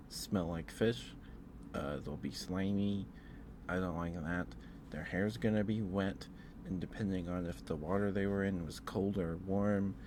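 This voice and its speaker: A man's voice: deep voice, noisy background. A man livestreaming ASMR, chatting casually and cooing affectionately to viewers, often dropping into a whisper close to the mic.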